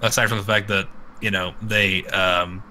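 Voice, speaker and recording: Man, sharp forceful tone, casual crude podcast chat, irreverent, rambling, sweary, slight background noise. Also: on the low side, little pitch movement, moderate pace. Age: adult with children. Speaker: podcast co-host.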